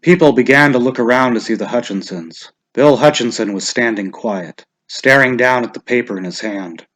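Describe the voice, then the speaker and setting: A man narrating a short story in a measured, expressive, suspenseful dramatic reading style. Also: medium pitch.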